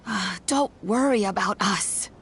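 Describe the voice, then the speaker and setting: A woman voicing a calm, frank elderly granny in a video game. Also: mid-range voice, a little slow, a little hiss or hum, lightly acted. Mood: calm, advising.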